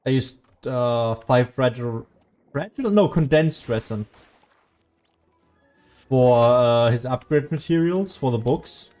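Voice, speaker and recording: A man streams a video game in a casual, rambling way. His voice sits at an average pitch, and he often drops his train of thought and picks it up again. Soft music plays behind him. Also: animated, slow, long pauses. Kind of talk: casual gameplay commentary.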